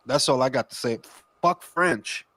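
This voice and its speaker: A man's voice: mid-range voice, a little slow, fairly bright tone, no echo. A Dominican man hosting a casual boxing chat, speaking in profane, emphatic slang and repeating himself for emphasis. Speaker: boxing talk show host.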